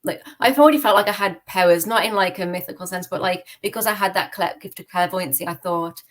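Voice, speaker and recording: A woman's voice: lowish voice, fast. A young British woman telling her personal story candidly as an interview guest, in an informal, reflective way peppered with "like" and "you know". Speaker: podcast guest giving testimony.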